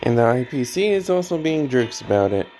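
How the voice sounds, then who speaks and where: Casual male gamer, medium pitch. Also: very animated, fairly quick. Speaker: video game streamer. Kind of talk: casual game commentary. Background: game music.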